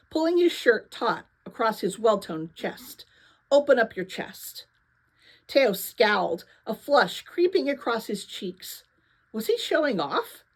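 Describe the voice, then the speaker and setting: Female narrator for young adult fiction read-aloud, mid-range pitch, expressive storytelling delivery. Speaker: narrator reading a novel aloud.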